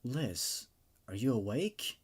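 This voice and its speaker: A grimy male captor from a horror story, read by a man speaks with subdued near-sympathy. His voice keeps to a medium pitch. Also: sing-song, slow, husky, full character voice. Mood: low-key, sinister.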